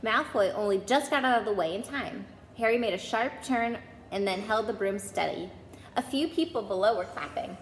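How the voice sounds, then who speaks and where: A woman's voice: medium pitch, moderate pace. Woman storyteller in an echoey hall. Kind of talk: reading a children's novel aloud. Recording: echoey hall, faint background noise.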